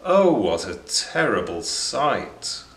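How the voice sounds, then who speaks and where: A man in his thirties reading a rhyming children's picture book aloud in an expressive, measured, dramatic way. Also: on the low side. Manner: measured, dramatic delivery for young listeners. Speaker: children's storybook reader. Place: small indoor room beside bookshelves. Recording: roomy indoor echo.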